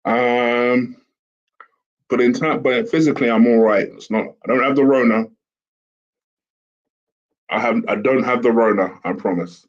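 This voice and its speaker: A man, hoarse from a sore throat, hosting a casual solo commentary show in a chatty, rambling way. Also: mid-range voice, measured pace, long pauses. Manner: chatty, rambling with fillers and restarts. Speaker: host. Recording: dull lo-fi home recording with fan.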